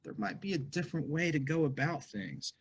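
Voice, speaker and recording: A man's voice: fairly high pitch, very animated, brisk, gravelly, highs cut off, a little hiss or hum. A man hosting an online author roundtable, upbeat and chatty as he asks rambling questions over a video call. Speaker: host. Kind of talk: casual interview hosting.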